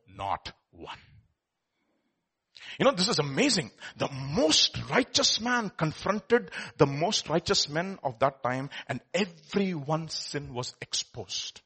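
A man preaching a sermon, with emphatic swings from quiet lines to loud exclamations. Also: mid-range voice, expressive, takes it slowly, breathy edge. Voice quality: says he has a loud voice.

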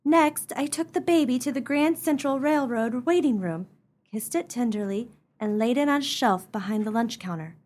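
A woman's voice: fairly high pitch, normal speed, a little mellow, natural voice. An actress playing a desperate, forlorn young mother in a comic radio melodrama, with earnest, melodramatic delivery. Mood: distraught.

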